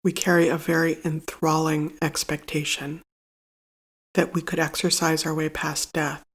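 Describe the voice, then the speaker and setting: Creaky-voiced meditation guide, measured and solemn. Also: medium pitch, level tone, a bit bright. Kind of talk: scripted guided meditation narration.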